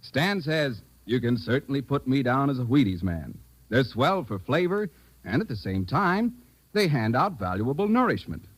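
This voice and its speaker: An American man reading a folksy sponsor pitch as a 1940s radio announcer. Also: sing-song, normal speed, no echo, rough recording.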